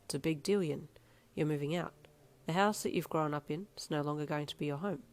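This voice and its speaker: A woman voicing a gruff but loving middle-aged father in an audio story. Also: deep voice, a little fast, close to an everyday voice. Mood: gentle. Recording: faint music behind.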